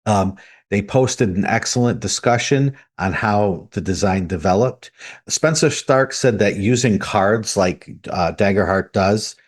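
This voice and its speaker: A man with a fairly low voice co-hosts a podcast. He relays news in a conversational style, explaining each item as he goes. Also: measured pace. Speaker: podcast co-host.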